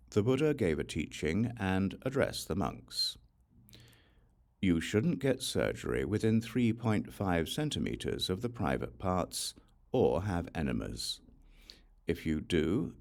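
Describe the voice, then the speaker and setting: A man reading a religious text as scripted audiobook narration in a measured, deliberate way. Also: low voice, very animated, creaky, a little mellow. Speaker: audiobook reader.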